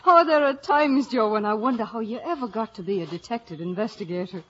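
An actress playing a spirited, affectionate young daughter in a 1950s radio drama. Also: female voice, fairly high pitch, fairly quick, slightly rough. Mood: teasing. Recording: muffled old radio with loud music.

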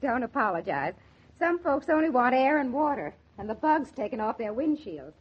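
A woman's voice: high voice, very animated, moderate pace, husky, exaggerated voice. A woman acting a plainspoken, obliging gas station keeper in a 1940s radio drama. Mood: obliging, matter-of-fact. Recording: dull vintage 1940s radio recording.

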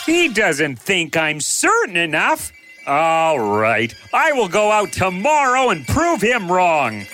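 A woman's voice: on the low side, huge pitch swings, slow pace, fairly bright tone, faint music behind, full character voice. A woman voicing a cheerful, naive, unsure young prince in a children's bedtime story, with a bubbly manner. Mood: wavering.

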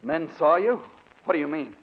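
A man's voice: on the high side, lively intonation, normal speed. An actor playing a cautious, anxious man of science in a 1940s radio drama, speaking earnestly. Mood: alarmed. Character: cautious, worried male doctor of science. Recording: muffled old radio recording.

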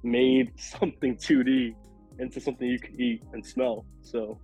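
A man with a raspy, hoarse voice from a cold, rambling casually as a podcast guest with many fillers. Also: medium pitch, flat delivery, normal speed, a little mellow, muffled recording, soft background music. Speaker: podcast guest, food illustrator.

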